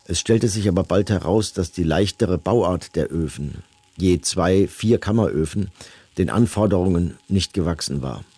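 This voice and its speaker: Deep, husky, detached male official. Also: moderate pace, dark tone, dry recording, faint music behind. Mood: detached, formal. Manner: formal, detached, bureaucratic. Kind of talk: scripted documentary radio drama reading.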